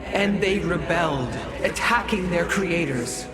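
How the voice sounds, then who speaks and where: Solemn ancient alien seer, loud music. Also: female voice, fairly low pitch, expressive. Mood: disapproving. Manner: formal, grave, measured delivery. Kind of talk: video game cutscene acting. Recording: game dialogue under loud music.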